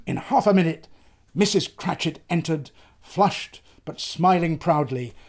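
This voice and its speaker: An older man in his sixties or above giving an expressive, theatrical reading of a literary story. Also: sits high. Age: 60s or older. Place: small book-lined room, indoors.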